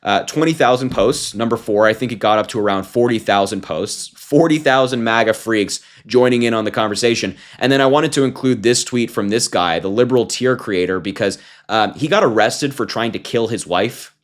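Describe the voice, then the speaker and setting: A man's voice: mid-range voice, a little fast, hardly any pauses. A man in his twenties giving casual, combative political commentary to camera, talking informally with fillers and restarts. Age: 20s. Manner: mocking, combative.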